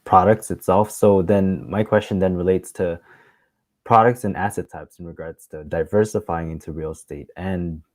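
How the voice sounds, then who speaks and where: A man hosting a casual interview, putting his questions in halting, hesitant phrasing. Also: sits low, fairly even intonation, darkish tone, no room sound. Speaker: interviewer, show host.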